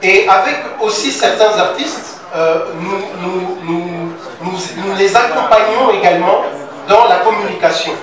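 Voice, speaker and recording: A man speaking as a music platform representative at a press conference, halting with many fillers and repeated words. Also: high-pitched. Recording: echoey hall, distant mic, crowd noise.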